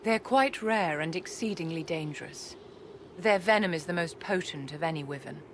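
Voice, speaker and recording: A woman acting a haughty, genteel court enchantress from a fantasy video game. Her voice sits fairly low, and she speaks in measured, formal phrases with lofty courtesy. Clear background noise and ambience surround her. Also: animated, lightly acted. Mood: composed, guarded.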